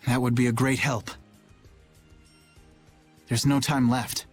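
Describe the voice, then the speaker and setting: A man's voice: medium pitch, flat delivery, slow, faint music behind. A man playing an earnest, courteous, worried young man in an English anime game dub.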